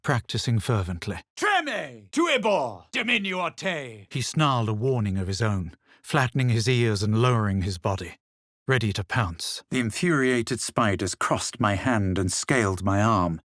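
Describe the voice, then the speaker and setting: A man voicing a haughty, self-assured fantasy adventurer in a video game, measured and superior in manner. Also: mid-range voice, sing-song, a bit bright, no echo, studio quality. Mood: forceful, incanting. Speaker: video game player character. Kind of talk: scripted game voice lines, narration and spell incantations.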